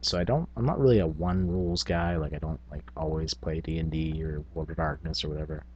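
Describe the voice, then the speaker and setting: A man's voice: extremely low pitch, brisk, dark tone, muffled recording, slight background noise. A man hosting a tabletop gaming vlog, talking casually in a rambling, self-effacing, unscripted way. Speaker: tabletop gaming video host.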